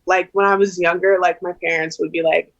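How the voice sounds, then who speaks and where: A woman's voice: on the low side, little pitch movement, fairly quick, muffled recording. A woman in her twenties, a singer and interview guest, talking casually on a video call, hesitant and giggly with many fillers. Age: 20s.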